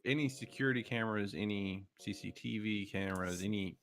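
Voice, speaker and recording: A man with a low voice narrates a tabletop roleplaying session as game master. He sets out scenes in a measured, descriptive way, with music playing faintly behind him.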